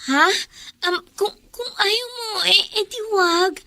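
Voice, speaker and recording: Very high-voiced, meek young schoolgirl. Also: takes it slowly, pushed and bright, exaggerated voice. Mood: timid, hurt. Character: poor, meek, kindly schoolgirl, easily hurt. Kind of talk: Filipino radio drama acting.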